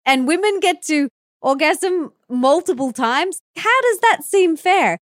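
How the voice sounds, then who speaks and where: A woman's voice: extremely high pitch, slow, no echo, studio quality. A woman hosting a podcast interview, speaking fluently and expressively in a conversational way. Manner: fluent, expressive, voices listeners' questions.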